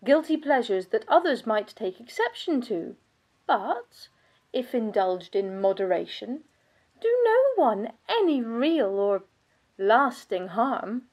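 Actress doing a snooty bitter vampire countess, theatrical monologue, kinda high voice, very pompous and sardonic. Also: female voice, very animated, slow pace, a little mellow, heavily acted. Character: haughty aristocratic vampire countess, bitter, world-weary widow of Dracula. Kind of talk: scripted dramatic monologue.

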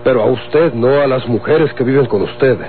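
A man's voice: medium pitch, moderate pace, a little hiss or hum, close to an everyday voice. A man playing a cornered Mexican lawyer in a radionovela, formal and deferential, with a hesitant, placating manner. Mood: anxious, formal. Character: cornered, deferential male lawyer, wary enemy of an outlaw. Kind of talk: Mexican golden-age radionovela acting. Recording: tinny old radio, faint music.

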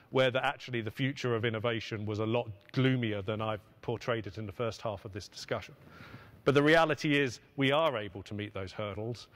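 A middle-aged man delivers a prepared conference keynote as an executive, phrasing deliberately with emphatic pauses. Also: mid-range voice. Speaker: pharmaceutical finance executive giving a keynote. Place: on stage at a podium in a conference hall. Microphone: podium microphone.

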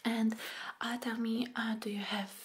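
Very slow, whispering young woman. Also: a little high, little pitch movement. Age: young adult. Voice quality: breathy whisper. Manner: soft, soothing, gentle. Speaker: ASMR creator playing a massage therapist. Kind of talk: whispered ASMR roleplay. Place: small dim room indoors. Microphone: close microphone off camera.

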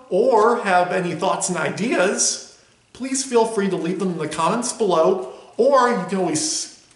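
A man's voice: high-pitched, fairly bright tone. A young man talking casually and cheerfully to a webcam from a small room. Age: young adult. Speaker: vlogger. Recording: roomy echo in a small room.

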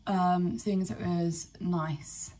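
A young woman from England chatting casually to camera in a vlog, rambling with fillers and quick asides. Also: fairly low pitch, fairly even intonation, dark tone, far from the mic, a little hiss or hum. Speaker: vlogger. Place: cottage bedroom indoors, later outdoors on a walk. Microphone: camera at arm's length.

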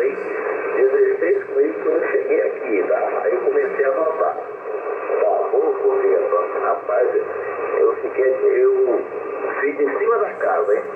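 The voice comes through a tinny, distant-sounding radio speaker with an echo. It is a Brazilian man in casual operator chat, repeating himself and tacking questions onto his phrases. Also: medium pitch. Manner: chatty, repetitive, ends phrases with tag questions. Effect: voice heard over shortwave radio. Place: radio receiver speaker filmed up close in a room. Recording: tinny, very noisy, echoey radio reception. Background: loud radio static.

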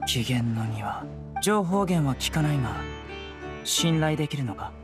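A man voicing a stern young enforcer in an anime game, terse, measured and serious. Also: on the high side, expressive. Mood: grave, measured. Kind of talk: Japanese anime game voice acting. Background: background music with guitar.

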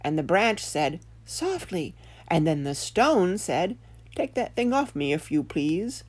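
A woman voicing an excitable, easily spooked male farmer in a children's tale, sounding exasperated. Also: fairly low pitch, unhurried. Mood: agitated.

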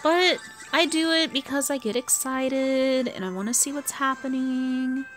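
A woman's voice: high voice, measured pace, a bit bright. A tired American woman giving chatty, casual gameplay commentary, thinking aloud as she plays. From US East Coast. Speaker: gaming video host. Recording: game music behind the voice. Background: video game music.

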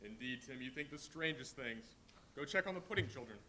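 A meek clerk and father, played by a man on a live stage. His voice is bright and lively, gentle and placating. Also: mid-range voice, brisk.

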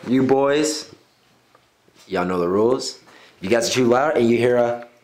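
A man's voice: medium pitch, normal speed, a little mellow. A young man hosting a challenge game with friends, explaining rules and calling countdowns in a small indoor room. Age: young adult. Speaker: game show host. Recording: clearly noisy room recording.

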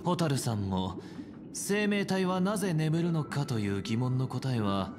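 Man acting calm idealistic leader, video game character, polite, measured, solemn persuasion, music behind. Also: mid-range voice, lightly acted. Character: calm idealistic male leader offering a dream paradise. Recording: music under the voice.

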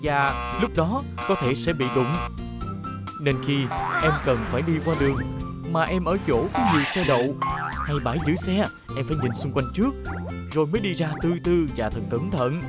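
Cartoon police rescue car: low, steady, caring, instructive; studio dub under prominent music. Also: female voice. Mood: earnest, cautioning. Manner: instructive, measured, earnest. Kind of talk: cartoon dubbing.

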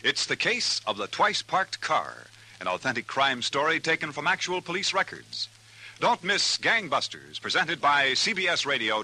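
A male 1950s radio announcer delivering a program promo. The voice is fairly high, very bright and swings in pitch.

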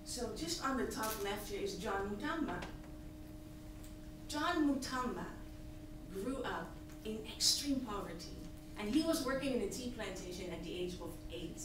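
A woman in her thirties from Tanzania, giving an earnest, emphatic pitch as a nonprofit founder from a stage podium. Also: lively intonation, moderate pace. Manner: earnest, emphatic, gesturing at slides. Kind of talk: prepared presentation speech. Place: large hall, on stage at a podium. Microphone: podium mic, recorded by a far audience camera. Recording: echoey hall from far off, hissy.

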